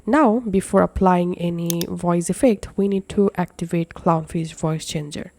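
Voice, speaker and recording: Tutorial presenter: dark, relaxed timbre, step-by-step instructional read, slightly non-native phrasing. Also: mid-range voice, measured pace. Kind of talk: step-by-step software tutorial narration.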